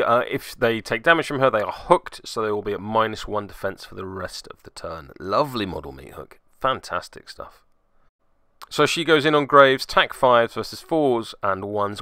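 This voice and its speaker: A man's voice: on the low side, bright, forceful tone, no room sound, studio quality. A man hosting a tabletop miniatures game report, giving semi-scripted play-by-play with wry, playfully mock-dramatic asides.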